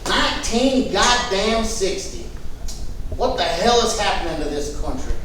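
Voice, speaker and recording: A nasty american jailer in a stage musical, taunting drawl, really high voice, big echo. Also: male voice, very animated, a little slow, pushed and bright, a little hiss or hum, exaggerated voice. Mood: sneering contempt. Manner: folksy, taunting, drawling vernacular. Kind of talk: staged musical theatre reading. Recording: echoey hall, distant mic, faint music.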